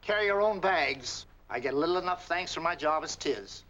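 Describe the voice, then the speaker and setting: High-voiced, crotchety rural American innkeeper. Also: male voice, expressive, moderate pace, pushed and bright. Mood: grudging. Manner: grumbling, curt, folksy. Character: crotchety, stingy small-town male innkeeper, suspicious of strangers. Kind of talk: 1940s film acting. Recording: muffled, noisy old film soundtrack.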